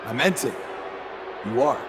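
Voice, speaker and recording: A man playing a humble young underdog wrestler giving a sincere, deliberate promo in a video game. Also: mid-range voice, expressive, natural voice. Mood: earnest. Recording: noisy arena PA with long reverb.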